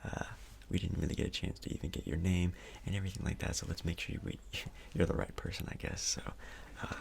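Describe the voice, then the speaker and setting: Soft-spoken man, low gravelly ASMR murmur. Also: little pitch movement, fast, noisy background. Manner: hesitant, casual, reassuring. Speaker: ASMR performer role-playing a doctor. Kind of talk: soft-spoken ASMR role-play, near whisper.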